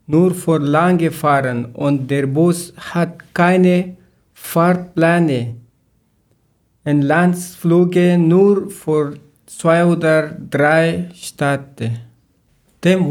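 Slow-speaking man, higher voice. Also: darkish tone. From Afghanistan. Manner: slow, careful phrasing. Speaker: podcast co-host. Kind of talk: casual chat, learner German.

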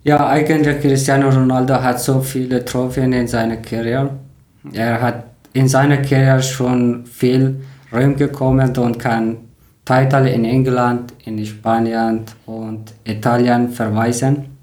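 Ein Mann aus Afghanistan mit Deutsch als Fremdsprache moderiert einen Podcast und spricht vorbereitete Sätze bedächtig vor. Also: male voice, medium pitch, level tone, a little mellow, reverberant. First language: not German. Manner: careful, learner grammar, slightly halting. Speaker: podcast host. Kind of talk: reading prepared talk in learner German.

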